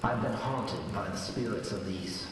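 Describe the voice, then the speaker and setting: An actor playing a grim, brooding vigilante haunted by the dead, in old-time radio drama style. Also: male voice, fairly low pitch, a little slow, natural voice. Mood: grave, pondering. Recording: noisy, distant, echoing hall-like reverb.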